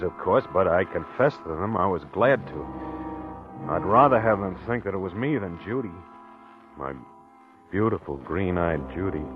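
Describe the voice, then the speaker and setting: Casting: haunted, groggy murderer husband, low halting voice, muffled old-radio sound with music behind. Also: male voice. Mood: resigned, wistful. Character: dazed, obsessed husband confessing to murder. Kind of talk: 1940s radio acting, first-person narration. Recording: muffled vintage radio recording, organ music.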